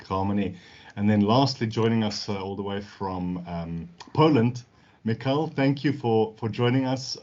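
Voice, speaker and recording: Man, panel moderator, low-ish voice, welcoming, introduces speakers, lo-fi call sound. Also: darkish tone.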